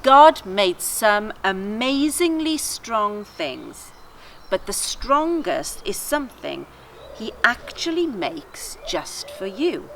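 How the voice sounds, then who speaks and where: A woman reading a devotional aloud to children in an expressive storytelling style, with deliberate, emphatic phrasing. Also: medium pitch, a little hiss or hum. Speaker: children's church story reader.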